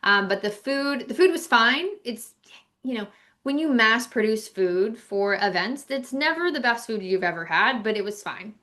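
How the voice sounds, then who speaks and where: Woman podcast cohost, really bright voice, chatty and informal, giving opinions on travel stuff. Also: on the high side, expressive, moderate pace. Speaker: podcast co-host. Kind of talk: casual podcast chat about travel.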